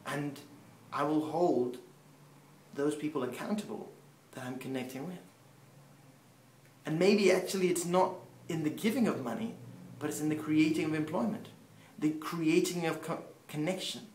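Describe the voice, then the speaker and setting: A man raised in Peru, Spanish his first tongue, preaches with earnest, building emphasis that sinks back to low, quiet ends. His voice sits a little high. The room around him rings with echo. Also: moderate pace, long pauses, a little hiss or hum. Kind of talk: sermon-style teaching.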